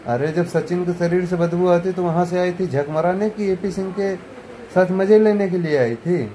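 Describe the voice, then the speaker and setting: Scornful ranting man with a dark tone. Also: high voice, fairly even intonation, moderate pace, a little hiss or hum. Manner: scornful and heated, rising to loud outbursts. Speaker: online commentator. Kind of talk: ranting video commentary.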